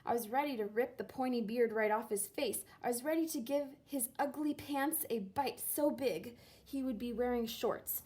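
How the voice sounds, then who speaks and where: A woman reading a storybook aloud with expressive, dramatic and deliberate delivery. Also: on the high side, breathy edge. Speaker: teacher reading a picture book aloud.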